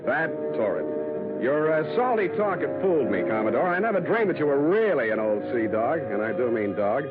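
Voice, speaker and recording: A man's voice: mid-range voice, very animated, normal speed, natural voice. A hardboiled male private detective played by a radio actor, dry, sardonic and given to drawling hesitations. The vintage radio recording is thin and narrow, with prominent music around his noir delivery. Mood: wry, boastful. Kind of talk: 1940s radio acting, noir narration and dialogue.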